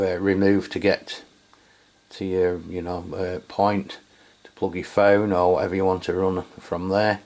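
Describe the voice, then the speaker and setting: A man from England giving a casual, hesitant product review with many fillers. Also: deep voice. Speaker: radio reviewer. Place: home radio room.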